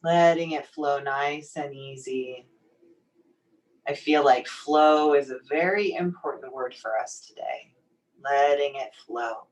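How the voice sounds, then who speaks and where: A woman leading a guided meditation in a soothing, gently encouraging, unhurried manner. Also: low-pitched. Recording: distant microphone pickup.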